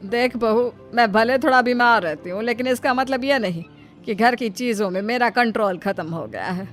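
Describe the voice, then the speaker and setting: A woman voicing an ailing, possessive elderly mother-in-law, querulous and domineering, in an animated moral story. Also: on the high side, normal speed, lightly acted. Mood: possessive, bossy. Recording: music behind the voice. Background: background music.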